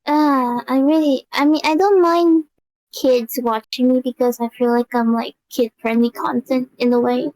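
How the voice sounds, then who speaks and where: A woman's voice: steady tone, moderate pace, a little mellow, no room sound, low-quality recording. A young woman chatting casually on a live stream, rambling and giggling often. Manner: giggly, rambling, high-pitched.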